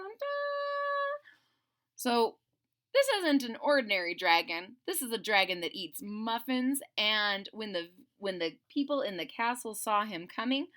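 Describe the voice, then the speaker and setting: Lady doing storytime for kids, highish bright voice, super expressive and playful, explains stuff simply. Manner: theatrical, playful, explains simply to young listeners. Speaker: storybook reader for children. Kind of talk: expressive children's read-aloud with simple asides.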